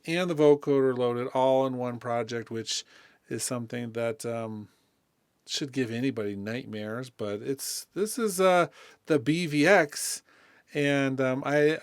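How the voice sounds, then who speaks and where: A man presenting a music production tutorial in a casual, informal way, thinking aloud as he demonstrates software. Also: medium pitch, clean tone, dry recording, clean studio sound.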